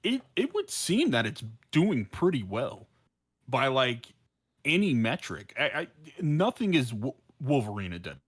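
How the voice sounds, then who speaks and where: Male podcast co-host, mid-range voice, opinionated and incredulous, halting delivery with repeated restarts. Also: medium pitch, huge pitch swings, slightly rough. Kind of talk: casual opinionated discussion.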